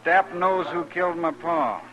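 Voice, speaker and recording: A man's voice: fairly high pitch, measured pace, fairly bright tone. An American actor playing a bitter, hot-headed young man out for revenge in a 1950s radio western. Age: young adult. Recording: dull old radio recording.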